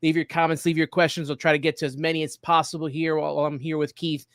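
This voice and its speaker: A man's voice: a little high, level tone, fast talker, fairly bright tone, dry recording, studio quality. A man hosting a sports talk show, giving commentary and analysis.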